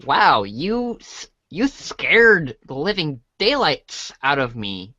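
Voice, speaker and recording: An earnest, naive boy voiced by a woman, hesitant and polite. The voice is low for a woman, with lively intonation and an unhurried pace, recorded very dry as a fan theater reading. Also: no echo, full character voice. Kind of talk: read-along fan theater.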